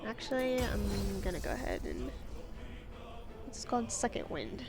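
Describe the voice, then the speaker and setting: A woman's voice: medium pitch, sing-song, a little slow, vocal fry. A woman giving casual let's-play commentary, chatty, exclaiming at setbacks and making wry, self-mocking asides. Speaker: gamer. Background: game music.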